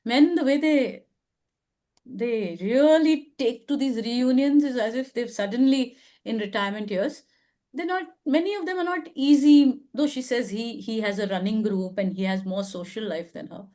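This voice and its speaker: A woman's voice: on the high side, moderate pace, dark tone. An older woman with an Indian English accent, hosting a casual advice discussion, thoughtful and hedging, with many fillers. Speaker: advice show host.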